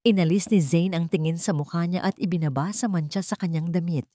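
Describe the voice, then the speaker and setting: A woman's voice: on the low side, brisk, mellow, dry recording, clean studio sound. A woman narrating a scripted story in a dramatic style.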